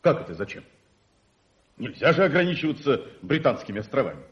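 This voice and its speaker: An actor playing a proud, conservative older colonel in a radio play, blustering and old-fashioned. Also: male voice, medium pitch, sing-song, a little fast, close to an everyday voice. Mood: indignant, disappointed. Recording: muffled 1981 radio recording.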